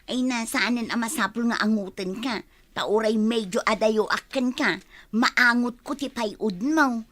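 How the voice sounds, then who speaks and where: Give a cheeky, nosy village perfume vendor, acted by a woman in an Ilocano radio comedy. She has an Ilocano accent and a fairly high, bright, piercing voice. She stretches her words as she teases and gossips. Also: measured pace. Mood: teasing.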